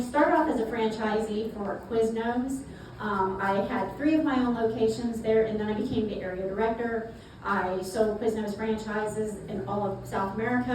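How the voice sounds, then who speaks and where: A middle-aged woman with a South Carolina accent, speaking as a guest at a networking event in a folksy, chatty way. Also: fairly high pitch. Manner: folksy, chatty, repeats words. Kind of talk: networking talk to a live audience. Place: event space. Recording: echoey room from far off, noisy.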